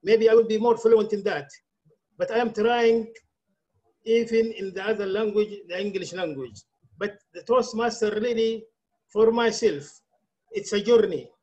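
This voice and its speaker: A man whose first language is Arabic, giving a halting speech as a club director at an online meeting, often restarting his words. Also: sits high, a little mellow, lo-fi sound. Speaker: club program quality director.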